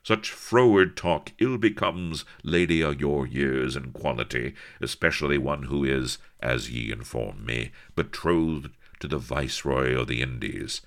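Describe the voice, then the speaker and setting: A man's voice: a bass voice, measured pace, hardly any pauses. A man voicing a stiff, formal English naval captain with old-fashioned manners.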